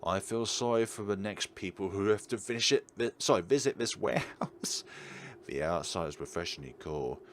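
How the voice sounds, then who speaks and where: A man's voice: fairly low pitch, normal speed, a little hiss or hum. A man hosting a game playthrough, reading story text aloud, acting out dialogue and adding his own commentary. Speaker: gaming video host. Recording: loud music behind the voice. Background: game music.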